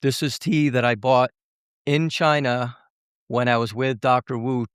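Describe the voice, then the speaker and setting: A man hosting a podcast interview, speaking courteously in halting phrases with pauses. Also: medium pitch, steady tone, smooth, no echo, studio quality. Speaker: podcast host.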